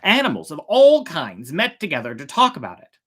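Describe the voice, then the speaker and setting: A storyteller narrating a folk tale in a theatrical way, with drawn-out, emphatic words. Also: medium pitch, wildly swooping pitch, a bit bright. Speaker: storyteller, host of a storytime show.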